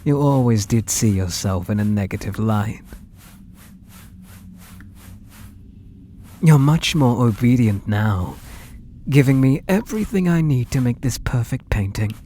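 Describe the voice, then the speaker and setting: A man with a British accent playing an obsessive, possessive painter in an intimate ASMR roleplay, breathy and doting with an undertone of menace. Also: mid-range voice, lively intonation, a little hiss or hum, close to an everyday voice. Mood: tender, smug. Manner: slow, breathy, doting, menacing murmur with soft whispers. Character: obsessive, possessive male painter doting on his captive muse.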